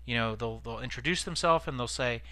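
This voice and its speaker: A man's voice: medium pitch, a little fast, bright, forceful tone, no echo. A man giving informal instructional tips as a sales trainer, explaining conversationally and stressing key words.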